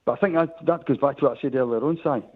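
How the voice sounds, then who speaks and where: A Scottish man speaks frankly on a tinny phone line and talks quickly. He often repeats his words as he gathers his thoughts. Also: medium pitch. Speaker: caller. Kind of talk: phone-in chat.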